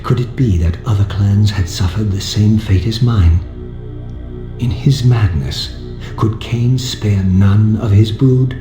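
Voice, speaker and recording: An actor playing a proud, vengeful vampire wraith in a video game, speaking in a formal, theatrical, measured way. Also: male voice, on the low side. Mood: brooding, bitter. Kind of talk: dramatic game voice acting. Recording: reverberant hall, music underneath.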